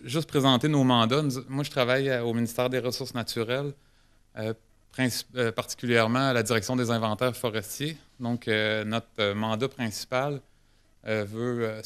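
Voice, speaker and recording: A man's voice: medium pitch, steady tone, moderate pace, a bit bright, clear, resonant tone. A man with a Québécois French accent giving an informal conference presentation, hesitant, often restarting and correcting himself. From Quebec, Canada. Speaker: government forestry specialist presenting.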